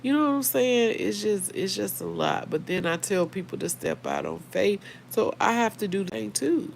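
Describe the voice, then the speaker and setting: A middle-aged American woman, a little hoarse, chatting casually and earnestly on a livestream and speaking straight to her listeners. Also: mid-range voice, expressive, moderate pace, a little hiss or hum. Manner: conversational, earnest, addresses listeners directly. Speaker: livestream host. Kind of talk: casual live chat reading viewer comments.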